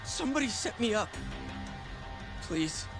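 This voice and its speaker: A man's voice: sits high, sing-song, measured pace, over music, heavily acted. An actor playing a desperate young man in custody who pleads his innocence in a dramatic, agitated manner in a video game.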